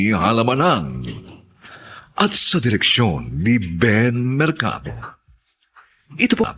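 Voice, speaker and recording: A Filipino man narrates and announces a radio drama. His delivery is slow and theatrical, with a solemn tone and very lively intonation. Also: on the low side, a little hiss or hum.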